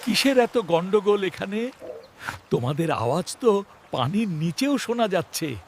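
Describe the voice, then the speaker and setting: A man's voice: sits high, big pitch swings, moderate pace, noisy background. A man voicing an earnest animal character in a children's cartoon fable. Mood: indignant.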